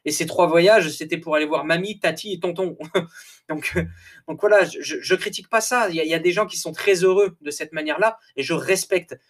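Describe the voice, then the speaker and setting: A man hosting a podcast in a casual motivational monologue, animated, laughing often, repeating and restarting words, a little tired. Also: a little high, a little fast. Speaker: podcast host.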